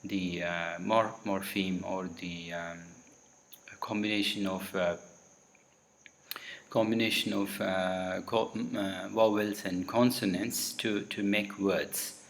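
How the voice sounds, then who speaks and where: Tamil-native man, low voice, slow and halting solo talk. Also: flat delivery. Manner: halting, searching for words mid-sentence.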